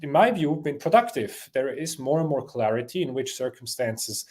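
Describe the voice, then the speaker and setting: A man from Switzerland answering interview questions, pausing with many fillers and restarts. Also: mid-range voice, lively intonation, darkish tone. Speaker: interview guest.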